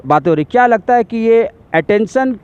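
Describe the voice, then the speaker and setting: A male reporter asking questions in a street interview, halting and restarting his words. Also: very high-pitched, animated, clean tone, dull audio.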